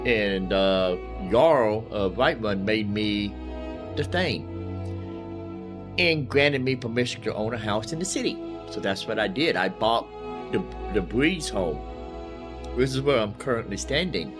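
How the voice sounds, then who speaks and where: Slow-talking man streaming a game. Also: fairly low pitch, expressive, over music. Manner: rambling, folksy, repeats phrases for emphasis. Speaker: live streamer, gaming host. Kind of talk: casual game livestream commentary.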